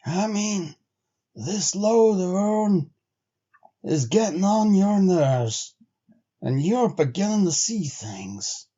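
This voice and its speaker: A man's voice: high voice, expressive, slow, warm tone, dull audio, voice pushed up, exaggerated voice. A man voicing a stolid, sceptical sled-dog driver in a storybook, with a drawling, rustic old-time way of talking. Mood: weary, commiserating. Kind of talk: storybook character voice.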